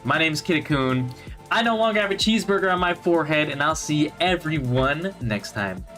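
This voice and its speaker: A man chatting casually while streaming a game, rambling with restarts, his voice slightly hoarse. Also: a little high, animated, moderate pace, a bit bright, faint music behind. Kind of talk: casual gameplay stream chat. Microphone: gaming headset.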